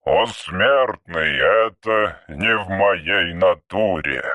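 Audiobook robot: ancient, deep, solemn archaic phrasing, read by a man. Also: expressive, dry recording, narrow, tinny audio, full character voice. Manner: slow, old-fashioned inverted phrasing. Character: ancient male robot, slow archaic speech.

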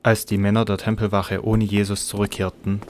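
Deep, even-voiced male narrator. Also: brisk, slight background noise. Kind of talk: scripted narration of a Bible audio drama.

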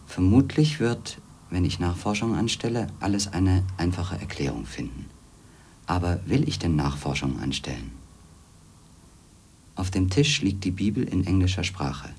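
An actor playing a skeptical, world-weary former magazine editor who narrates in a pensive, measured way in a radio drama. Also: male voice, deep voice, animated, darkish tone, a little hiss or hum. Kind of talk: German radio drama, introspective narration and dialogue.

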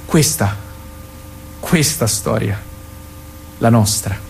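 An actor playing an earnest, gentle man visiting an old love, in a plain-spoken naturalistic radio drama style. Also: mid-range voice, lively intonation, drawn-out words, creaky, a little hiss or hum, heavily acted. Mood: tender, insistent.

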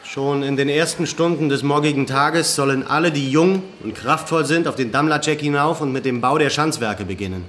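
Casting: male actor as earnest uprising leader, slightly high voice, formal oratory, radio drama with faint noise behind. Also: fairly quick, hardly any pauses, fairly bright tone, natural voice. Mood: earnest, urgent. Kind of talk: German radio play acting.

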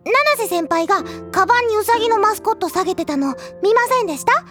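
Cute schoolgirl, very high-pitched, swooping melody, playful, polite, teasing, Japanese audio drama. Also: expressive, quick pace, over music. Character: cute, teasing younger schoolgirl masking her true feelings.

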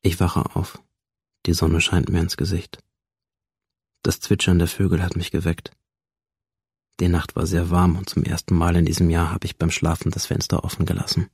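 Low husky troubled young man. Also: flat delivery, moderate pace, long pauses, darkish tone, dry recording, clean studio sound. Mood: dazed, uneasy. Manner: introspective, dramatic monologue delivery. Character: troubled young man narrating his unreal, slipping world. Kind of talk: radio drama first-person narration.

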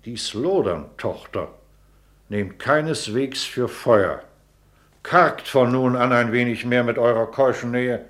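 A man's voice: mid-range voice, takes it slowly, a bit bright. An actor playing a pompous, scolding old courtier and father in a German radio play, speaking sententiously and theatrically. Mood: dismissive, commanding.

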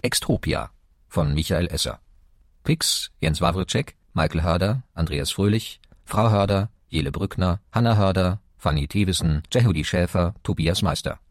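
A man's voice: sits low, expressive, moderate pace. A man with a standard German accent reading scripted radio credits as an announcer. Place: dry studio.

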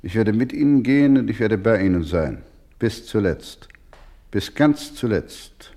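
An actor playing a steadfast, gentle doctor and guardian of children in a German radio play, speaking solemnly and formally with deliberate repetition. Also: male voice, on the low side, expressive. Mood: solemn resolve.

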